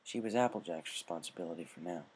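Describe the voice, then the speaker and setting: A man's voice: low-pitched, fast, darkish tone, slightly rough. A man reading a story aloud as scripted narration. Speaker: story reader. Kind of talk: scripted narration of fan fiction.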